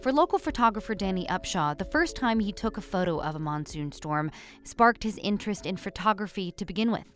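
A woman radio reporter narrating a news feature in a measured, reflective way. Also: medium pitch, expressive, a bit bright, faint music behind.

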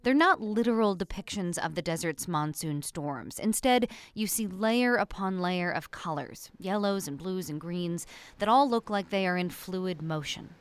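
A woman radio reporter narrating a news feature in a measured, reflective way. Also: fairly low pitch, expressive, smooth, no echo.

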